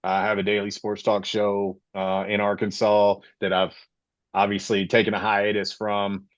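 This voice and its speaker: A man's voice: fairly low pitch, monotone, moderate pace, dry recording, dull audio. A man in his late forties from Florida, hosting a casual sports podcast and telling his own story with passion. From Florida, USA. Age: 40s. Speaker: podcast host.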